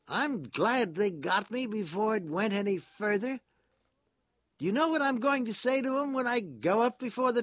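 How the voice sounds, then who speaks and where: Audiobook prisoner: high, doleful, chummy fellow, naively loyal and self-blaming. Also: male voice, a bit bright, dry recording, heavily acted. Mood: grateful, confiding. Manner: earnest, chummy, plaintively proud.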